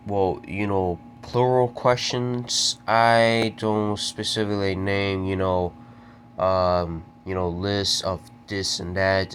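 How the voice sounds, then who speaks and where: A young man from the American Deep South with a very deep voice, stuttering and halting through a casual solo Q&A. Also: a bit bright, slight background noise. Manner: stuttering, halting with repeated words. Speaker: video creator answering viewer questions.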